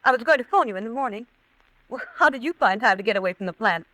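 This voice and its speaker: A woman's voice: on the high side, expressive, fast, pushed and bright, dry recording, rough recording. An actress playing an anxious, insistent woman full of alarm, in old-time radio suspense style. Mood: guarded.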